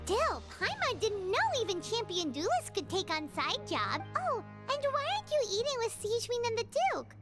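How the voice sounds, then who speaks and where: A woman voicing a tiny, childlike, excitable fairy companion in a video game, speaking of herself in the third person. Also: extremely high pitch, moderate pace, few pauses. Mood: curious, excited. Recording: game music behind the voice.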